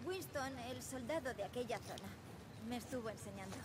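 Woman as a high-voiced curious Castilian teenage girl. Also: a little fast, background noise, natural voice. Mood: casual. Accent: Castilian Spanish. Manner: direct, inquisitive. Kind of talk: naturalistic video game acting. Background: rain, outdoor game ambience.

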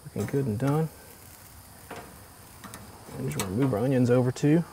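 A man in his forties hosting a home cooking show, explaining each step in a relaxed, easygoing way from his kitchen. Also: medium pitch, moderate pace, gravelly, slight background noise, low-quality recording. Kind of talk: casual cooking demonstration.

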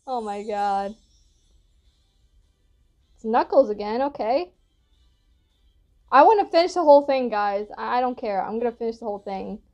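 A woman's voice: a little high, lively intonation, slow pace, long pauses, darkish tone, faint music behind. A young woman giving casual, giggly, rambling reaction commentary as a video host, recorded indoors on a device at arm's length. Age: young adult. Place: indoor room at home.

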